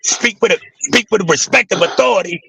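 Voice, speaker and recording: Man, raspy, creaky, high-pitched, intense and emphatic, dry sound. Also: fairly quick, bright, forceful tone, no echo. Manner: intense, emphatic, swears freely. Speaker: guest on a live stream. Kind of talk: casual livestream talk.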